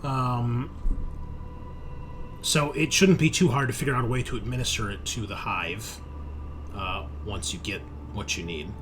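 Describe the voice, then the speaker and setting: A man's voice: mid-range voice, unhurried, pauses often, background noise. A man narrating a tabletop sci-fi horror game as game master, in a casual, sweary way.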